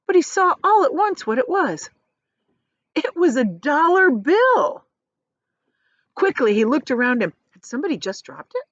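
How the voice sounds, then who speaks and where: A woman reads a children's story aloud, expressively, with deliberate, dramatic emphasis on key words. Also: fairly high pitch, dry recording, highs cut off. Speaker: teacher reading aloud.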